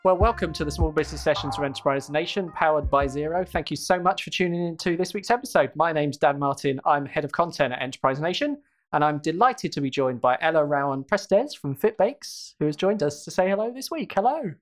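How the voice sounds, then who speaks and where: A man's voice: fairly high pitch, expressive, fairly quick, soft background music. A man hosting a podcast interview, upbeat and encouraging with his guest. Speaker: podcast host.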